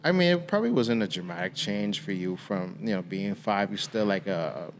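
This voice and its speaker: A man's voice: lowish voice, lively intonation, normal speed. Male host, casual and chatty, echoey room, faint background hiss. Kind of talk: casual interview. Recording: reverberant room with faint noise.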